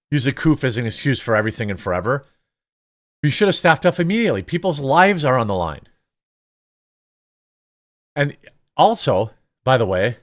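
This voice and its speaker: A man's voice: medium pitch, fairly bright tone. A man hosting a news commentary show, speaking off the cuff in a blunt, opinionated, sometimes sarcastic way and reading bits of articles aloud. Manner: blunt, opinionated, rhetorical questions.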